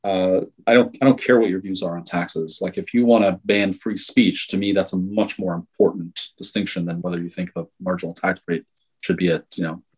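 A man from Iran talking as an interview guest, thoughtful and measured, often restarting and qualifying his words. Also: low voice, steady tone, breathy edge, rough recording. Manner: thoughtful, with frequent restarts and hedges. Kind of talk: conversational interview talk.

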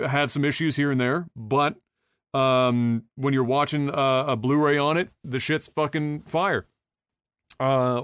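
A man's voice: mid-range voice, clean tone, dry recording, studio quality. A young man hosting a podcast in casual chat, rambling with lots of fillers, restarts and swearing. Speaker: podcast host.

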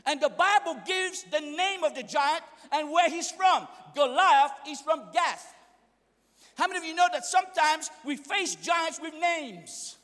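A young man preaching a sermon in a church, speaking English as a second language, fiery and often shouting in call-and-response with the congregation. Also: very high-pitched, unhurried. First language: not English. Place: church hall. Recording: echoey church hall reverb.

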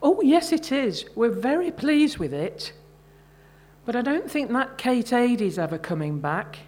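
A middle-aged woman, an author reading her own short fiction at a live event, measured and carefully phrased. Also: fairly high pitch, very animated, a little mellow.

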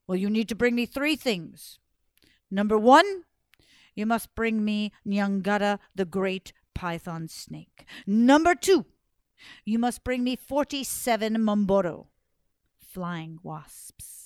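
A woman playing a lofty, doubting sky god in a told folktale, measured and imperious. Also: medium pitch, animated, dry recording, clean studio sound. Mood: commanding. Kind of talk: oral storytelling.

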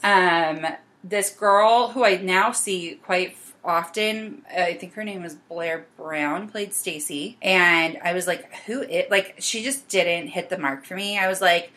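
A woman in her thirties from California, co-hosting a casual podcast chat about nineties movies, animated and self-mocking. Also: on the low side, measured pace. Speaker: podcast co-host.

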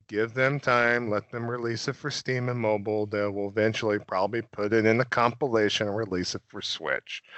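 A man's voice: lowish voice, a bit bright, no echo, muffled recording. Male host, casual, joking, laughs a lot, dry mic. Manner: joking, laughs often, riffs with co-hosts. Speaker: podcast host. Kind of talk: casual chat.

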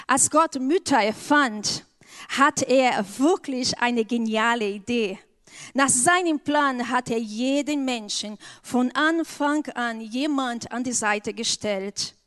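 A woman with a Brazilian accent speaks slowly and earnestly, choosing each word with care. Her voice sits fairly high as she shares a personal testimony before a church congregation. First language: Portuguese. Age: adult with an eleven-year-old daughter. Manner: slow, earnest, careful phrasing.

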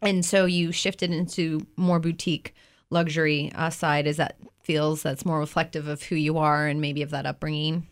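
A woman's voice: deep voice, flat delivery, normal speed. A woman hosting an interview show, introducing her guest and asking questions in a conversational way.

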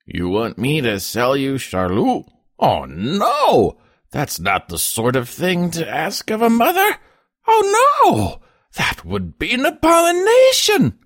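A man's voice: fairly high pitch, huge pitch swings, takes it slowly, fairly bright tone, dry recording, studio quality, pitched above the performer's own voice, heavily acted. A man voicing a furious, indignant peasant mother who exclaims and scolds in a storybook reading.

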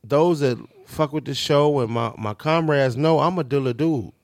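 A man's voice: mid-range voice, normal speed, no echo, studio quality. A man in his fifties from Chicago's South Side, co-hosting a podcast in casual chat and repeating his points emphatically. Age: 50s. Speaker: podcast co-host.

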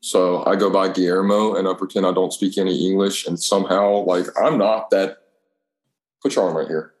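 Male, low register, slangy and sweary storyteller who plays out both sides of conversations, roomy echo. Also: steady tone, moderate pace. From Alabama, United States. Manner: profane, slangy, acts out dialogue. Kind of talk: casual chat, telling rambling anecdotes. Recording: echoey room.

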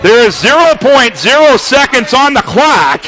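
A man calling a basketball game as the radio play-by-play announcer, with rapid calls that climb into shouts on big plays. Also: very high-pitched, very animated, rough recording. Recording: live gym broadcast with crowd noise.

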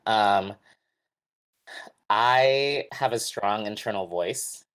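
Man podcast co-host, very deep voice, measured, thoughtful, casual talk. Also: fairly bright tone, smooth.

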